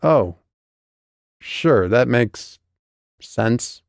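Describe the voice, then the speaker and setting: A man's voice: medium pitch, lively intonation, extremely slow, gravelly, no room sound, exaggerated voice. A feisty young heroine from a fantasy story, read by a man. She talks in a blunt, casual, sarcastic way, and the sound is dry and close. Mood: incredulous. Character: feisty, sarcastic young woman new to magic.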